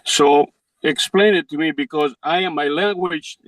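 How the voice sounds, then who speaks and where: A man in his sixties with a Greek accent, a native Greek speaker pleading his own case in court in halting, non-native English phrasing. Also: fairly high pitch, dry recording, poor audio. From Greece. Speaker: self-represented defendant.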